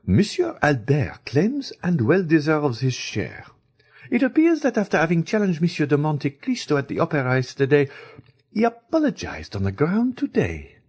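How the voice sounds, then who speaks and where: A man voicing a firm, independent young woman who speaks emphatically in a high falsetto, reading an audiobook. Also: sing-song, normal speed, a little mellow, slightly rough. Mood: proud. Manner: emphatic, clipped refusals.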